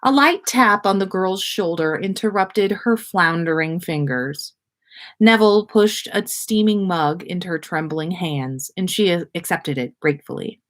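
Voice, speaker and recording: A woman narrating a story aloud as a podcast host reading for listeners. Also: fairly low pitch.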